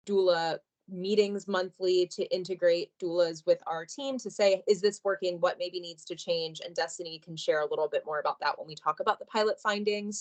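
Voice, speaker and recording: Low-voiced woman moderating a webinar. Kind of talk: webinar presentation.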